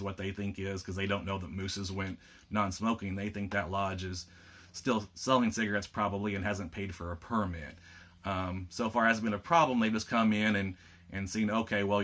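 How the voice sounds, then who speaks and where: Plainspoken lower-voiced man. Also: fairly bright tone. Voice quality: rough voice. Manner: plainspoken, frequent uh and um fillers. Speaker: state lodge administrator giving members an update. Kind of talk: casual informational video update.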